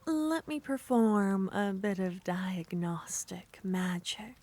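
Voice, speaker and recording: A woman playing a slow, whispery yandere witch. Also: medium pitch, lively intonation, a little hiss or hum. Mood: teasing. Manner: soft-spoken, half-whispered, teasing. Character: seductive possessive female witch, teasing and scheming. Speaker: ASMR roleplay performer. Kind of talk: ASMR roleplay.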